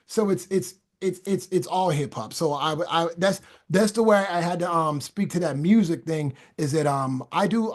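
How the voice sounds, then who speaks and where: High-voiced man preaching fervently. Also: a little mellow. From Boston, USA. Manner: stammering restarts, repeats phrases for emphasis. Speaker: podcast guest. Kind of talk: casual talk building into fervent preaching.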